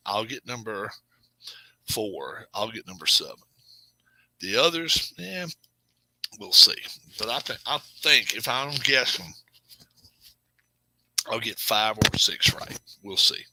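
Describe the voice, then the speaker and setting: A middle-aged man hosting a casual live stream, chatting with viewers in a rambling, self-correcting way and sometimes dropping to a low murmur. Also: lively intonation, slow pace, long pauses, bright, forceful tone, rough recording. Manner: drops to a low murmur at times. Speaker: channel host.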